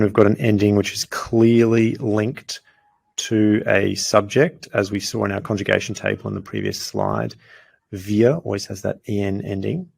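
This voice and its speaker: Man, language teacher, low voice, gravelly, patient grammar explanation. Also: a little mellow. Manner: patient, clear, slows down for foreign phrases. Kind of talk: explaining grammar with example sentences.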